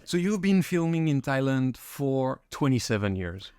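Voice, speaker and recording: A man's voice: mid-range voice, big pitch swings, a little slow, a little mellow, clear, resonant tone, no room sound, clean studio sound. A man hosting a podcast interview, asking curious questions and summing up the guest's answers. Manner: curious, friendly, summarizing answers back. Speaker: podcast host.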